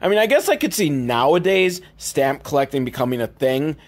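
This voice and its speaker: A man's voice: a little high, expressive, a little fast. A man hosting a comedy podcast, recapping films in a casual, rambling, sweary, mock-exasperated way. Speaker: podcast host.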